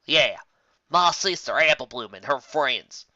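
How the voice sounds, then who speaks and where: A narrator acts out a female farm pony with a hot temper in an audio story. The delivery is blunt, drawn-out and forceful, pushing hard on accusations. The sound is close, dry and slightly muffled. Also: medium pitch, lively intonation, no echo. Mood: angry, accusing.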